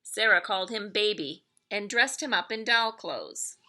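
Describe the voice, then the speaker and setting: A middle-aged woman reading a children's story aloud in an expressive, deliberate storytelling manner. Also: mid-range voice, slight background noise. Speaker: elementary school staff member reading aloud to pupils.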